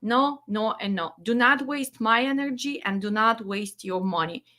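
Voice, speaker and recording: A woman's voice: a little high, normal speed, sharp tone. A woman from Ukraine with a Ukrainian accent, a trucking dispatch trainer speaking directly and firmly as she promotes her webinars. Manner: direct, firm and blunt.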